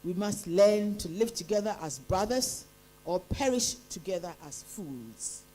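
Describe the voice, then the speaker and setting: A woman acting as quiz master, reading each contest question out deliberately and then repeating it. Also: medium pitch, animated, mellow, a little hiss or hum, poor audio. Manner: deliberate, reads each line twice.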